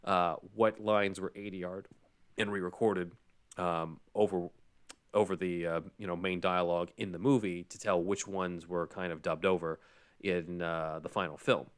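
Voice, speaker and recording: A man with a notably low voice hosts a casual podcast. He talks conversationally, moving between reading out comments and explaining his own take on them. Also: fairly bright tone. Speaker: podcast host.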